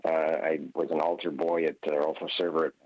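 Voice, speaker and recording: A man in his fifties from Hawaii, a guest author telling stories in an earnest, measured way on a radio interview. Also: rumbling low, level tone, no echo, highs cut off.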